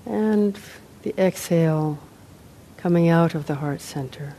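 Female meditation guide, lower-pitched and soft-toned mellow voice, unhurried phrases with long pauses, faint rumble behind. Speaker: meditation teacher. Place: meditation center, live talk.

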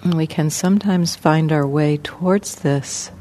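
A woman teaching guided meditation in a gentle, unhurried manner, leaving long pauses between short phrases. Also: sits low, slight background noise.

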